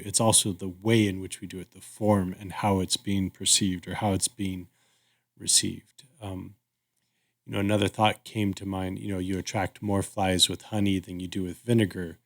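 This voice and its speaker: A man co-hosting a podcast, discussing casually in an agreeable, thoughtful and somewhat halting way. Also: low-pitched, gravelly. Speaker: podcast co-host.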